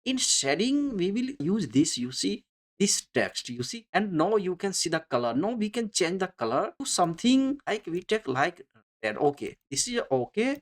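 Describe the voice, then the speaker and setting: A tutorial instructor with a mid-pitched voice talks through software steps off the cuff. The phrasing is non-native English, halting and often repeated, as each step is explained in turn. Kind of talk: unscripted screen-recorded step-by-step tutorial narration.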